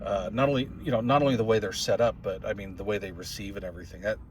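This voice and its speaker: A man's voice: on the low side, steady tone, quick pace, a little hiss or hum. An older man with a folksy, plain-spoken, rambling way of talking, giving a casual product review as a hobbyist. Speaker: radio hobbyist reviewer and video host.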